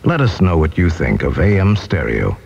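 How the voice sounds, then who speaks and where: A man's voice: a bass voice, big pitch swings, moderate pace, creaky, a little mellow, husky. A man reading a formal scripted station announcement on 1970s radio. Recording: dull 1979 AM radio aircheck.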